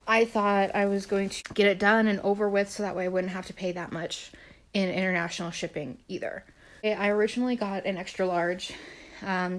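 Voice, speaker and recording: A young woman chatting casually in a home vlog, a bit nasal as she gets over a cold, rambling with lots of fillers. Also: medium pitch. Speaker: vlogger. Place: small bedroom indoors.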